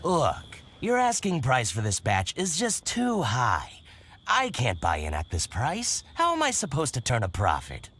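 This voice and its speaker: A man voicing a shrewd, excitable merchant in a video game, haggling and blustering. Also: mid-range voice, slight background noise, exaggerated voice. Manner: haggling, flustered, quick to bluster. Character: fussy middle-aged male boutique merchant, shrewd and excitable. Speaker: shopkeeper.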